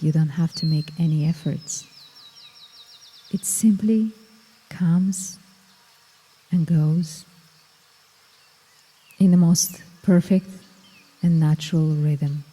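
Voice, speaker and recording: Woman, low dark husky voice, slow soothing meditation guide, long reverb, faint insects behind.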